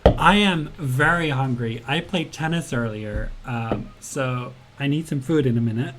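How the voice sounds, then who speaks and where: A man's voice: mid-range voice, measured pace, fairly bright tone, a little hiss or hum. A man hosting a casual game jam livestream, chatting in a friendly way with many ums and uhs and answering viewers' questions from chat. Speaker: game jam stream host.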